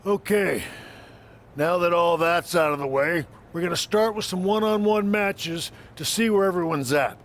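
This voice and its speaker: A man's voice: sits high, moderate pace, slight background noise. A man playing a composed, matter-of-fact wrestling trainer in a video game cutscene. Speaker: coach.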